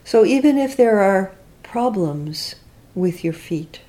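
A woman teacher leading a guided body scan meditation, speaking in gentle, reflective, unhurried instructions with long pauses. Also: mid-range voice, expressive.

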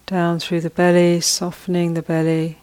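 A woman meditation teacher leading a guided meditation, speaking soft, gentle instructions with long pauses between phrases. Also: fairly low pitch, monotone, clear, resonant tone.

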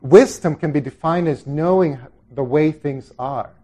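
A man's voice: a little high, unhurried, mellow. A middle-aged man from El Salvador giving an informal dharma talk to a group, conversational and reflective, often trailing off into asides. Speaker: Buddhist meditation teacher.

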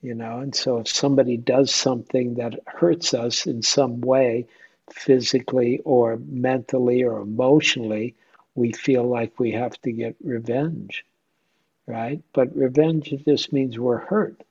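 A man's voice: mid-range voice, steady tone, measured pace, darkish tone, breathy edge, highs cut off. A man leading an informal group discussion as a meditation teacher, conversational and questioning, often checking in with listeners. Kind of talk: informal question-and-answer dharma talk.

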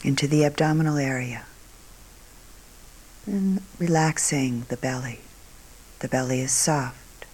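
A woman meditation teacher guiding a meditation calmly and unhurriedly, in short phrases with long pauses, her voice soft and breathy at phrase ends. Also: rumbling low, poor audio. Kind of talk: guided meditation.

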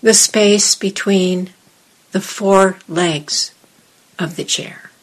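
A woman meditation teacher leading a guided meditation, giving measured instructions in short phrases with long pauses. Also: mid-range voice, fairly even intonation, poor audio.

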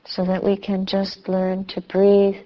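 Slow-speaking woman guiding a meditation, slightly muffled. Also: medium pitch, monotone. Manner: measured, soothing, with long pauses. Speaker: meditation teacher. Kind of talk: guided meditation instruction. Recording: slightly dull, muffled recording.

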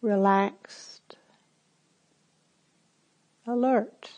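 A woman teaching guided meditation in a calm, gentle manner, with spacious phrasing and long pauses between instructions. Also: on the high side.

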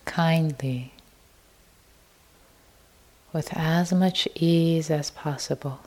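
A woman leading a guided meditation as a teacher, speaking gently in short, unhurried phrases. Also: deep voice.

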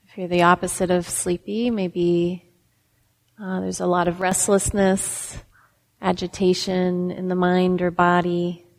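Slow-speaking woman guiding meditation in a reverberant hall. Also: on the low side. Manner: gentle instruction with long pauses. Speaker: meditation teacher. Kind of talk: guided meditation.

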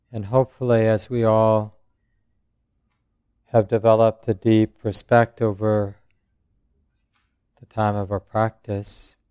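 A man who is a meditation teacher, speaking or chanting slowly and with measured, long pauses. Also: fairly low pitch, warm tone, clear, resonant tone, no echo, clean studio sound. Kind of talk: slow chanting and guided meditation talk. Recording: dull, muffled recording.

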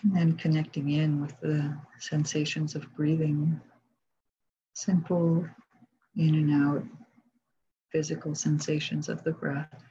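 A woman leading a guided meditation as a teacher, speaking calmly and gently with long pauses in a soft, husky voice. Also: sits low. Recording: dull, muffled lo-fi recording.

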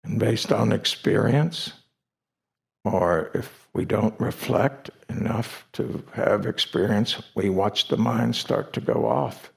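Very slow, gravelly man guiding meditation. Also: on the low side. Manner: slow, deliberate, with long pauses between short phrases. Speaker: meditation teacher. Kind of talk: guided meditation. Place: meditation retreat hall.

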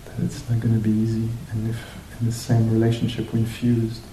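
A man teaching meditation to a group, speaking reflectively and off the cuff, with hesitant pauses between short phrases. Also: monotone, mellow. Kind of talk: guided meditation talk, unscripted and reflective. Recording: roomy echo, faint noise with low rumble.

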